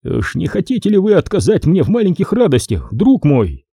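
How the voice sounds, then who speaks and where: Casting: male narrator as haughty, depraved major in an audiobook thriller, raised pitch, swooping sardonic courtesy, dark tone. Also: huge pitch swings, moderate pace, vocal fry, breathy edge, dry recording, clean studio sound, full character voice. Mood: silky, mocking. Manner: mannered, mockingly courteous speech.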